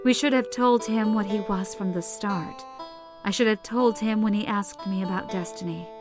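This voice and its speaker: Motherly wife played by a woman, caring and firm, medium pitch, audio drama with plucked guitar underneath. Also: moderate pace, natural voice. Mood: worried.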